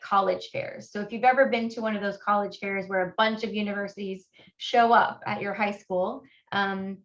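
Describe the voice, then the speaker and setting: Friendly woman on a muffled call. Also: mid-range voice. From Honduras. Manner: friendly, conversational, frequent fillers. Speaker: admissions guest speaker. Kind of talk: online webinar presentation. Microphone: video call. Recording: muffled video call audio.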